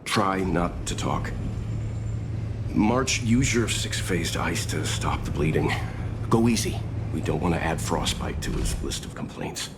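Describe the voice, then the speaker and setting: Deep-voiced seasoned mentor. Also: male voice, lively intonation, background noise. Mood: calm, steady. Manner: measured, composed, analytical. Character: calm, seasoned older male mentor and leader. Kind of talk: video game voice acting. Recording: loud music under the voice.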